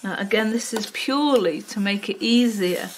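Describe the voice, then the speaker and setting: An older woman with a Wirral accent talks through a craft project at her table, voice at an ordinary middle pitch. She chats loosely, filling pauses with ums and muttering to herself as she works. A faint hiss sits under the recording. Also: poor audio. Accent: Wirral, mix of Welsh and Liverpool. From Wales. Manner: chatty, many ums, talks to herself while working. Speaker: craft tutorial host. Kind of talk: casual craft tutorial. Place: home craft table, indoors. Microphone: overhead camera, hands only.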